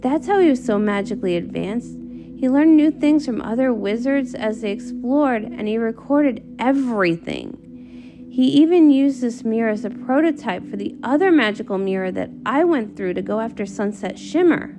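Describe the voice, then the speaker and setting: A woman voicing a bookish, earnest young female pony in a comic read-along, explaining things excitably over music. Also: on the high side, moderate pace. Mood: astonished. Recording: music under the voice.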